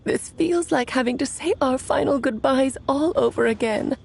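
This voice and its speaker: A woman's voice: high-pitched, normal speed, vocal fry, darkish tone, a little hiss or hum. A woman voicing a playful, theatrical witch in a video game, teasing and amused.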